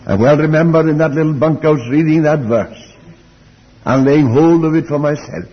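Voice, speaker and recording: Elderly preacher, muffled in a reverberant hall. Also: male voice, fairly high pitch, husky. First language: Welsh. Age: older, elderly. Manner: deliberate, reflective, with rhetorical questions and repeated phrases. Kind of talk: Bible teaching sermon.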